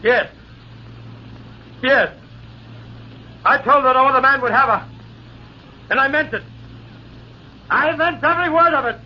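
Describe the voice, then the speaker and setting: A man's voice: very high-pitched, measured pace, long pauses, slightly rough, heavily acted. A man playing a scheming, cowardly soldier and rival duelist, frantic and melodramatic, in an old-time radio drama. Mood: defiant. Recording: muffled, crackly old radio recording.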